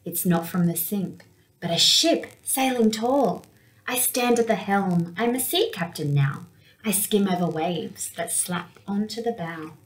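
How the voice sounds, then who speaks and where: A young woman reading a picture book aloud for children in an expressive storytelling manner. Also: medium pitch, a little slow, poor audio. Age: young adult. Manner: expressive, with acted-out sound words. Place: small indoor room with window blinds.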